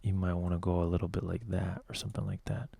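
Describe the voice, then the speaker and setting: A man with a lower tenor voice casually explaining how to finish a song, talking loosely with lots of "like" and "you know.". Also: level tone, fast, gravelly, dark tone, slightly rough, no room sound. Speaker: music production tutor. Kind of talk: casual tutorial walkthrough.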